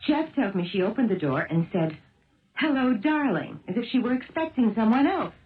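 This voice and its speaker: Dogged, animated woman amateur sleuth. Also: on the high side, normal speed. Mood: determined, insistent. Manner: pressing, emphatic, theatrical. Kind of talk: 1970s radio drama acting. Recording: muffled, thin old radio broadcast.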